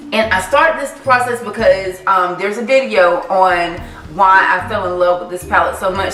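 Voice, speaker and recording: A woman from the Carolinas talking through her favorite makeup products in a chatty, animated vlog. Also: medium pitch, distant mic. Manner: chatty, animated, sassy asides. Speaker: makeup vlogger. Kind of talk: casual product review vlog. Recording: echoey room, voice off-mic, faint music.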